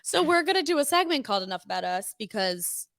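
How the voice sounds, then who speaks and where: A woman's voice: high voice, big pitch swings, fast, a bit bright, no echo, studio quality. A woman in her twenties from New Jersey, co-hosting a casual chat show with a chatty, self-mocking manner. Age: 20s. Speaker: co-host.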